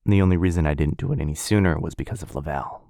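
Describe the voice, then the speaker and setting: A man playing a tender, devoted vampire lover in an intimate romantic ASMR roleplay, speaking softly and haltingly with a breathy, often whispered voice. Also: low voice, no room sound, clean studio sound. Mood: reassuring. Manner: soft, halting, earnest delivery.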